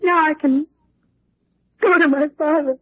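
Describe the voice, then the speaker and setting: A woman's voice: high voice, steady tone, a little slow. An actress playing a frightened young woman who speaks falteringly, as if in a trance, in an old radio thriller. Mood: dazed. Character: frightened, dazed young woman under a villain's spell. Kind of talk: 1940s radio thriller acting. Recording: muffled old-time radio recording.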